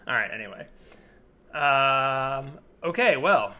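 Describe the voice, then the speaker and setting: A man's voice: mid-range voice, expressive, slow pace, pushed and bright. An American man co-hosting a comedy podcast, chatting casually and riffing as he reads out short posts. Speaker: podcast co-host and improviser. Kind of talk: casual chat, reading and riffing on classified posts. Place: remote podcast recording.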